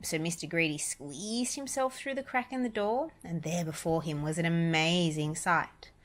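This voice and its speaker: A woman reading a children's story aloud, deliberate and emphatic on repeated descriptive words. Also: fairly low pitch. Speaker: storybook reader, parent.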